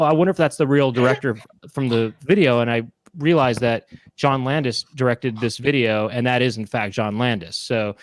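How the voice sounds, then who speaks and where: A man's voice: medium pitch, no echo. A man in his thirties co-hosting a casual live online chat show from a small room at home, talking into a handheld mic in a rambling, self-correcting way. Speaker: co-host. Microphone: handheld mic with headphones.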